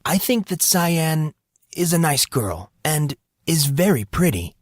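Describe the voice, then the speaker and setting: A man's voice: a little high, very animated, takes it slowly, no echo, studio quality, pitched above the performer's own voice, heavily acted. A man voicing a defensive, exasperated fourteen-year-old boy with an earnest, evasive manner in an audiobook. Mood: earnest, guarded. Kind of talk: audiobook character voice.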